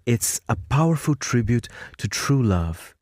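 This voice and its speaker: A man's voice: medium pitch, sing-song, a little slow, darkish tone, no room sound, clean studio sound. A man working as a senior correspondent, presenting a music chart segment on the radio in a chatty, enthusiastic way. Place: radio studio.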